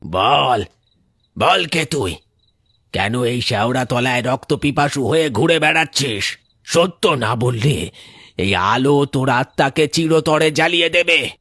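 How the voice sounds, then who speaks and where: A man playing a stern, fearless village exorcist in a cartoon ghost story, giving emphatic orders in a husky, rough voice. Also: medium pitch, lively intonation, slow, fairly bright tone, no room sound, studio quality, exaggerated voice. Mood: threatening. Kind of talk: cartoon horror story voice acting.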